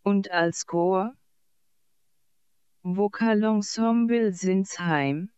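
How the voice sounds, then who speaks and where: An announcer reading scripted radio credits in a measured way, pausing between phrases. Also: mid-range voice, darkish tone, clear, resonant tone, no room sound, highs cut off.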